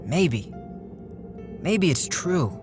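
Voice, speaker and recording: A woman's voice: low voice, sing-song, extremely slow, over music, heavily acted. A woman playing a stubborn, bedridden male dreamer in an audio drama, earnest and insistent.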